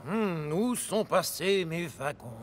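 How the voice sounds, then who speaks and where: A man's voice: high-pitched, expressive, slow. A man voicing a proud, stubborn, grumpy big express locomotive in a French-language children's cartoon, in a pompous, boastful manner. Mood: haughty, impatient. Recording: music behind the voice.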